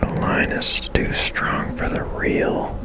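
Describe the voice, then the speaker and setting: A person whispering softly and breathily, musing tentatively to themselves in ASMR-style video game commentary. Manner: unhurried, musing to self, tentative. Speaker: gaming ASMR video creator.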